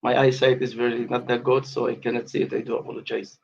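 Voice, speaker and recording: A man's voice: mid-range voice, level tone, fast talker, creaky, a little mellow, lo-fi sound, slight background noise. A man in his late fifties from the West Bank, calling into a live debate aftershow and talking in an insistent, formal way. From West Bank, Palestine. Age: 50s. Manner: insistent, formal phrasing, repeats himself. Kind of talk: heated live discussion. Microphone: online call.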